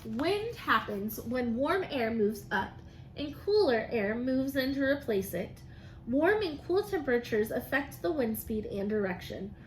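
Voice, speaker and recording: Higher-pitched woman teaching young children. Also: big pitch swings, a little slow, low-quality recording. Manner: encouraging, simple phrasing addressed to children. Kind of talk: read-aloud and science lesson for young children. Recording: noticeable background noise.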